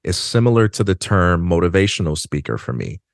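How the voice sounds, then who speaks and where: Earnest guy on a podcast, kinda low voice, slows down to make a point, dry close mic. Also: sing-song, creaky, no room sound. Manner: earnest, measured, slows down for emphasis. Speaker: podcast guest. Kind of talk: reflective conversation. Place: dark studio. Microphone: studio mic on a stand.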